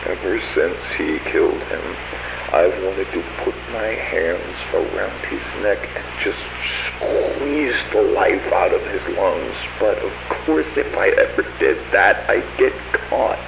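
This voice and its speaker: A man's voice: deep voice, slow, gravelly, exaggerated voice. An actor playing a bitter, unhinged father in a brooding confession, on a hissy, roomy amateur recording. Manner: brooding monologue.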